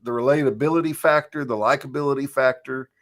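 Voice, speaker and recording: A man with a croaky voice, a marketing coach chatting as a guest in a relaxed, easygoing way with frequent laughs. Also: mid-range voice, quick pace. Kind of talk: casual chat.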